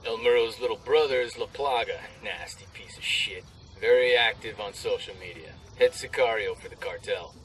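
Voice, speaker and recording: An actor playing a blunt special forces teammate in a video game, giving intel in clipped phrases. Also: male voice, lowish voice, normal speed, fairly bright tone, close to an everyday voice, poor audio. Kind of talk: video game military dialogue.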